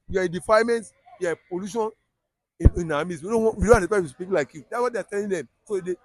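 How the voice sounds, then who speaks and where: A man's voice: high voice, dry recording, poor audio. A man with a Yoruba Nigerian accent giving heated political commentary, emphatic and repetitive, often restarting his sentences.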